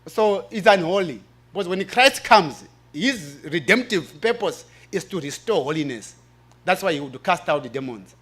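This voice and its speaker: A man's voice: high voice, animated, normal speed, fairly bright tone, husky. A man presenting at a religious forum, explaining in a preaching style and repeating phrases for emphasis.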